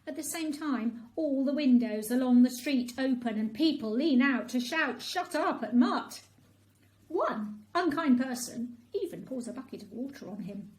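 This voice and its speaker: A woman narrating a children's bedtime story in an expressive storytelling style. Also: high-pitched, a little slow, low-quality recording.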